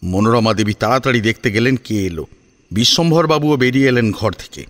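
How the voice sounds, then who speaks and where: A man narrating a scripted family drama in an expressive, suspenseful storytelling manner. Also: mid-range voice, a little fast.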